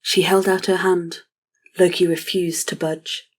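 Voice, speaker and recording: Low, even-toned woman narrating a story. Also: measured pace.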